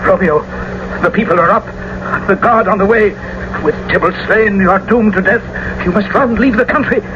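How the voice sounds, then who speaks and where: A man's voice: high voice, moderate pace, lightly acted. Loyal young friend male actor, radio drama, pressing and theatrical, noisy dull old recording. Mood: urgent.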